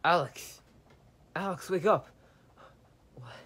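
A man's voice: a little high, a bit bright, slightly rough. A man voicing plush-toy characters in plain, everyday conversation. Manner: slow, plain conversational delivery. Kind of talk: amateur plush-toy drama dialogue.

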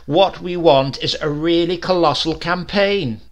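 A man voicing a brash male advertising executive who pitches loud, emphatic slogans. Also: unhurried, lo-fi sound, close to an everyday voice. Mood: enthusiastic.

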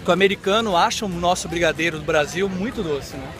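A Brazilian man in his thirties, hosting a chatty, excited vlog, recorded on a phone held at arm's length outdoors in a city park. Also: sits high, fast, music in the background. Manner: chatty, excited, lots of "né?" tags. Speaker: vlogger host. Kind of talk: upbeat vlog chat.

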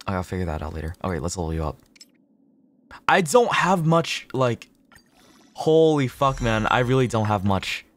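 A man livestreaming a game, chatting casually with viewers, swearing freely, repeating himself and thinking out loud. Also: mid-range voice, sing-song, normal speed, pauses often.

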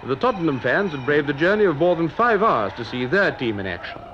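A man's voice: a little high, sing-song, fairly quick, noisy background. A British man reading scripted narration as a reporter on a 1950s newsreel. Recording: dull 1950s newsreel soundtrack. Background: music.

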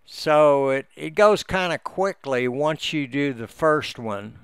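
A man talks through an on-screen tutorial at a slow, unhurried pace. His voice has a gravelly creak but stays very clear and resonant. He explains step by step without a script, halting as he goes. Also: on the high side, expressive, fairly bright tone, dry recording, clean studio sound. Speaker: amateur radio hobbyist giving a tutorial. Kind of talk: unscripted screen-share walkthrough. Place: indoors, close dry voice-over for a screen recording.

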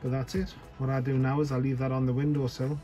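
Bloke doing a relaxed fly tying how-to at his desk, mid pitch, rambling and jokey, music going under him. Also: fast talker. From North East England. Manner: chatty, self-deprecating, thinks aloud while working. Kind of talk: casual off-the-cuff tutorial.

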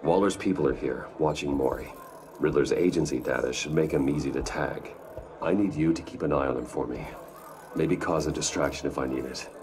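A man acting a brooding billionaire vigilante in a video game, terse, controlled and intense, with a deep gravelly voice. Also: brisk, a crowd in the background. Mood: watchful, urgent. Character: brooding adult male billionaire vigilante, guarded and commanding.

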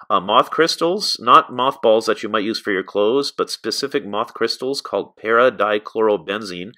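A man's voice: on the low side, bright, forceful tone. A middle-aged American man giving an informal, explanatory narrated lesson as an instructor, often adding asides. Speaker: beekeeping instructor.

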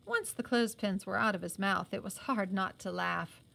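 A woman's voice: mid-range voice, moderate pace, gravelly, bright, forceful tone. A woman teacher reading a novel aloud expressively, adding explanatory asides.

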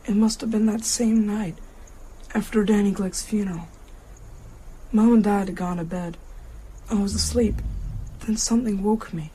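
A woman's voice: medium pitch, fairly even intonation, moderate pace, long pauses, warm tone, a little hiss or hum, natural voice, low-quality recording. A woman playing a frightened but resourceful young boy in a radio drama, earnest and fearful. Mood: hushed recounting. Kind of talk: radio drama narration and acting.

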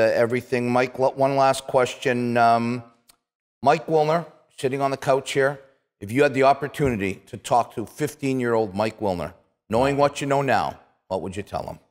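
A man, chatting casually and haltingly as he hosts an interview show. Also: medium pitch, darkish tone. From Canada. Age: 50s. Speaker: talk show host. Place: small indoor studio set, seated on couch. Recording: roomy studio with long reverb.

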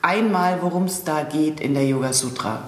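Low-voiced woman hosting a podcast. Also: moderate pace, reverberant. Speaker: podcast host. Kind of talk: interview podcast.

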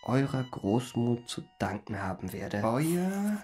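An actor playing a self-satisfied gentleman impostor who narrates his memoirs in a radio play, in ornate, pompous phrasing. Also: medium pitch, big pitch swings, takes it slowly, breathy edge, soft background music, full character voice. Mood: pompous, pleased.

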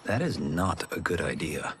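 A man's voice: deep voice, moderate pace, slight background noise. An actor playing an earnest young male fighter, a farm boy, in a video game cutscene. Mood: wary. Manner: sincere, calm.

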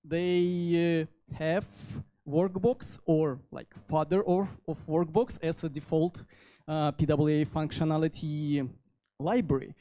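Hesitant Russian-accented man, mellow voice. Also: on the high side, steady tone. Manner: halting delivery with frequent hesitations. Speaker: conference speaker, software developer. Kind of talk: informal technical presentation. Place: indoor meetup venue. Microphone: handheld microphone.

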